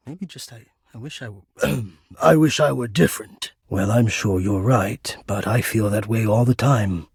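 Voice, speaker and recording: Casting: an anxious, tender male air duct spirit for a dating game; husky, close and dry, formal and halting. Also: medium pitch, a little mellow, dry recording, heavily acted. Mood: earnest, self-doubting. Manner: earnest, formal, hesitant. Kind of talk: dating game character acting.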